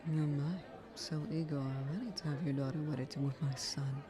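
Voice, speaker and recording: A woman playing a dignified, protective queen mother in an audio roleplay, with a measured, formal, regal delivery. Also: sits low, flat delivery, music in the background, crowd noise, natural voice. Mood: proud, reserved.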